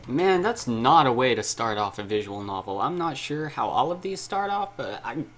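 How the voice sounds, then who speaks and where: Man, low pitch, gaming host, reading story text aloud, casual asides, music in background. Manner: informal, self-interrupting asides. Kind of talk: reading a visual novel aloud with casual commentary.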